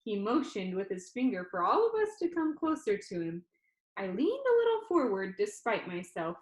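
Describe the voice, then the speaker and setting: A woman's voice: mid-range voice, huge pitch swings, normal speed. A woman reading a first-person novel aloud as narrator. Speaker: audiobook reader. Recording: echoey room.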